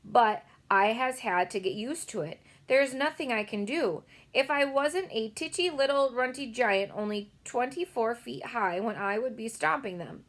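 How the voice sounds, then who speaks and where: A woman voicing a big, kindly male giant who talks in slow, muddled grammar. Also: fairly high pitch, lively intonation, fairly bright tone, pitched above the performer's own voice. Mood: earnest, rueful. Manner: mangled grammar, slow and emphatic.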